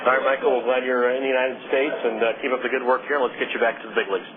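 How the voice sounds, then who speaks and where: A man working as a radio baseball broadcaster, asking interview questions quickly with many fillers. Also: medium pitch, little pitch movement. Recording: tinny phone-like radio broadcast with noise.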